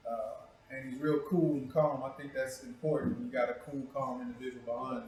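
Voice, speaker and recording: A man's voice: mid-range voice, fairly even intonation, a little fast, a little mellow. A man hosting a talk show in casual, profane, joking chat, with a playful, teasing manner and a habit of repeating himself. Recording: very echoey room, distant mic.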